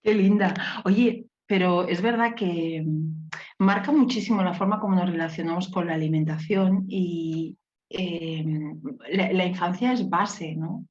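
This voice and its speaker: A woman's voice: on the low side, unhurried, a little mellow, dull audio. A woman hosting a podcast interview who speaks to her guest in an affectionate, encouraging way. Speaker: podcast host. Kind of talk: conversational interview.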